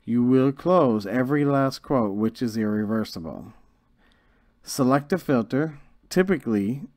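A man narrating a scripted software tutorial in a measured, instructional way. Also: medium pitch, no echo, clean studio sound. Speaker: software trainer.

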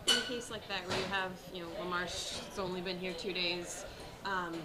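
A woman's voice: lowish voice, steady tone, moderate pace, heavy background noise. A woman reporter asking a coach loosely phrased, conversational questions after a game. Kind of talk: postgame press questions.

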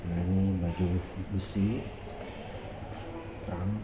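A man's voice: low voice, level tone, slow pace. A man from Makassar, Indonesia, narrating casually as he walks through a fort museum, pointing at exhibits with many fillers. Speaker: vlogger touring a museum. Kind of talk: casual walking tour narration. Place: indoor museum halls of an old fort. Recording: tinny phone-like audio in echoey rooms with loud noise.

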